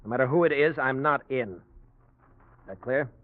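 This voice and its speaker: A man's voice: mid-range voice, normal speed, dry recording, close to an everyday voice. Man playing a curt, guarded American, tinny radio sound. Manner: terse, evasive, demanding. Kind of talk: 1940s radio noir acting. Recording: tinny vintage radio recording.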